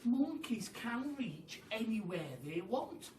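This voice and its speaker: A man voicing an excitable monkey father who barks impatient orders in a children's storybook reading. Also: extremely high pitch, slow, pitched above the performer's own voice, exaggerated voice. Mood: bossy, urgent. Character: bossy, frantic monkey father leading a scheme. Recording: roomy, distant mic with faint noise.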